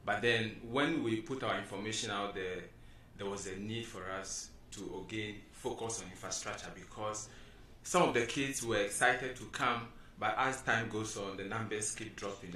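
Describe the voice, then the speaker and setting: Man from Ghana, panel guest, bright forceful tone, distant mic in an echoey room. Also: fairly low pitch, slight background noise. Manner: earnest, steady explanatory talk. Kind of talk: explaining his community work.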